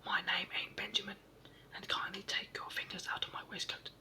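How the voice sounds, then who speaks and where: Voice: fairly quick, sharp tone. A reader whispering a deaf, huffy saucepan man. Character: deaf, muddled little old saucepan man. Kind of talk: whispered storybook reading.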